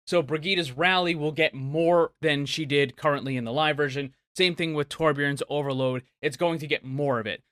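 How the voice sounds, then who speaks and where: A man's voice: on the high side, bright, forceful tone, dry recording, clean studio sound. A man hosting a gaming video, giving upbeat commentary as he answers viewer questions.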